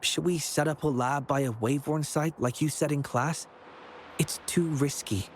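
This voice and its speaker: A man's voice: a little high, normal speed, a little hiss or hum, close to an everyday voice. A man voicing a cautious, sensible young student in a video game. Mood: wary, teasing.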